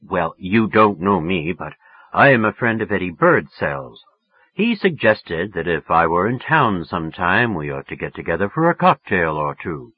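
A man voicing a teenage boy who deepens his voice and talks deliberately to pass as a suave, mature man. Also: very animated, breathy edge, phone-quality sound, heavily acted. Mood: suave, careful. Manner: slow, deliberate, falsely suave.

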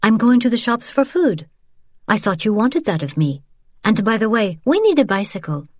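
A woman's voice: mid-range voice, big pitch swings, moderate pace, dry recording, clean studio sound, pitched above the performer's own voice. A woman voicing a tired, blunt and defiant young woman who speaks sharply and firmly. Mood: defensive. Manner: sharp, exasperated, firm.

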